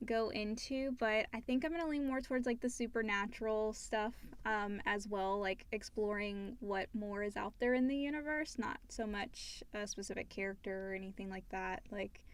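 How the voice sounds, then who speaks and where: A woman's voice: medium pitch, steady tone, moderate pace, hardly any pauses, smooth. A woman co-hosting a podcast, reading questions and chatting casually. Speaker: podcast co-host. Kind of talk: casual Q&A chat.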